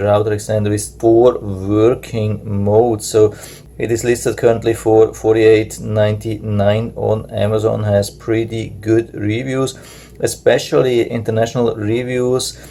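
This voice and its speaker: A man's voice: on the low side, dark tone, slight background noise. A man whose first language is German, casually reviewing a gadget he unboxes at a table, hesitant, with many filler sounds. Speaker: product reviewer. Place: indoors at a table.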